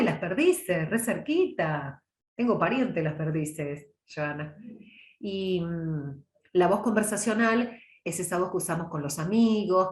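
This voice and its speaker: A woman's voice: fairly low pitch, reverberant. A middle-aged woman from Córdoba with a mild Argentine accent, teaching a public speaking class over a video call in a chatty, conversational way. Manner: chatty, warm, answers chat questions by name. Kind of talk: online class, casual lecture.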